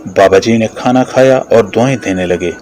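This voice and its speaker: Low-voiced male storyteller. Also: normal speed, a little mellow, soft background music. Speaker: narrator. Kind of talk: scripted moral story narration.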